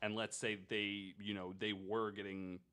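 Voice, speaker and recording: A man's voice: lowish voice, little pitch movement, measured pace, bright, forceful tone, clear, resonant tone. A man on a podcast panel chatting casually and thinking aloud, informal and a bit wry. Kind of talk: casual chat, speculating aloud.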